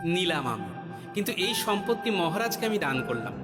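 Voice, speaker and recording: An actor playing a clever, generous cartoon village wit who talks in an assured, explanatory and courteous way. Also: male voice, high voice, sing-song, brisk. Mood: earnest, generous. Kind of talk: cartoon voice acting. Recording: loud music behind the voice.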